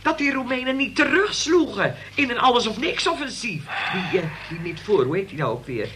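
A woman's voice: fairly high pitch, sing-song, a little fast. An actress playing an older, football-mad, moralizing mother in a radio play, rambling, opinionated and given to exclamations. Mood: chatty, opinionated. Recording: noisy old radio play recording.

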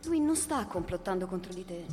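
A worried, sceptical wife, echoing hall. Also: female voice, on the low side, big pitch swings. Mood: sceptical, firm. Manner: halting, pleading, reproachful. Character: police chief's concerned, sceptical, exasperated wife. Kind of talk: theatrical radio drama acting. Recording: reverberant hall, faint music behind.

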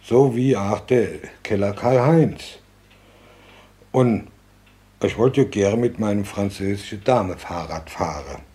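An older man playing a war veteran who haltingly recalls his youth, searching for words. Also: lowish voice, warm tone. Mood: reminiscing, halting. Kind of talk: halting spoken recollection.

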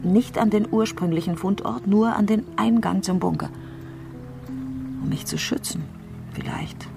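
An actress playing a troubled, brooding politician in a crime radio play, speaking reflectively and intensely, sometimes halting. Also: female voice, medium pitch, creaky, music in the background. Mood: bitter, pensive. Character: troubled, brooding female politician doubting the official truth. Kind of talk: dramatic radio play acting.

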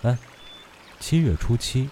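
An actor playing a calm, aloof and teasing male immortal in a Chinese fantasy audio drama. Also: mid-range voice, takes it slowly, a little hiss or hum. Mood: teasing, cool. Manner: composed, dryly teasing.

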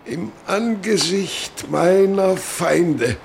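An actor in a radio play playing a brooding, troubled clergyman, halting and solemn. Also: high-pitched, creaky, slight background noise, exaggerated voice. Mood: anguished, solemn.

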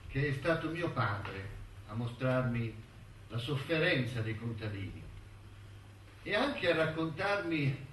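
An actor playing a wistful, bitter elderly intellectual in a theatrical monologue, musing and halting as he reminisces. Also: mid-range voice, a bit bright, slight background noise. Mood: fond reminiscing. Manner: halting, musing, with rhetorical tags and repetitions. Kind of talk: theatrical monologue in a radio-broadcast play. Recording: echoey stage recording, distant mic, music.

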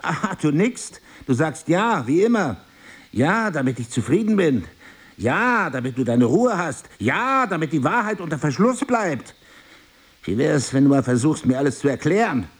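An actor playing a middle-aged, exasperated husband in an intimate drama, questioning in a pointed, insistent way. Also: male voice, a little high, sing-song, normal speed, slightly rough. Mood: reproachful. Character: probing, sardonic, exasperated husband of middle age. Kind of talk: intimate stage-play dialogue.

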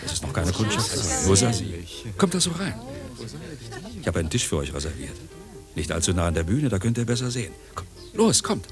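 An actor playing a flamboyant, grandiloquent uncle who performs in a nightclub, in a pompous, theatrical radio play style. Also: male voice, deep voice, very animated, a little fast, long pauses. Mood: excited, welcoming. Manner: pompous, theatrical, florid declaiming. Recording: music playing behind.

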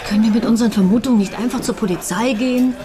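A woman's voice: on the high side, very fast, lightly acted. Woman acting a nervy widow in a radio crime play, busy chatter behind her. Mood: wary, reasoning. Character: threatened widow Ruth, anxious, questioning, piecing things together.

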